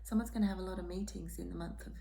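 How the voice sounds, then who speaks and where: A hoarse, croaky woman giving a tarot reading in a soft, half-whispered, reassuring way. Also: fairly low pitch, fairly even intonation, rapid-fire. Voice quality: croaky, throat-clearing. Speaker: tarot reader. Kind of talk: casual tarot reading monologue.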